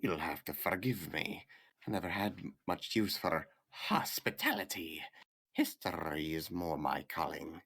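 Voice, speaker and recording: An actor playing a small, smug, theatrical aristocratic villain in a visual novel game, with mocking, drawn-out formal speech. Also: male voice, low-pitched, gravelly, fairly bright tone, husky, full character voice.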